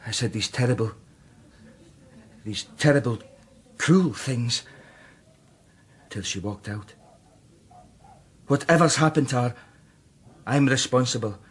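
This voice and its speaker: Radio drama role: bitter, devout Scottish composer, husky mid-pitched male voice, terse and intense. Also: slow pace, long pauses. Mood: anguished, fervent. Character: brooding, guilt-ridden struggling composer, bitter and devout.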